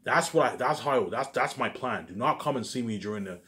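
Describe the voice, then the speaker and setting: A man's voice: mid-range voice, expressive, brisk, fairly bright tone. A man giving a rambling, informal monologue, repeating himself emphatically, swearing and restarting his phrases. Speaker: vlogger.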